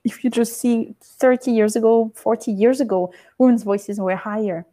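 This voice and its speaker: Thoughtful woman, bright voice, gentle tone. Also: a little high, moderate pace. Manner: thoughtful, searches for words, many fillers. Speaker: researcher guest. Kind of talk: conversational podcast interview.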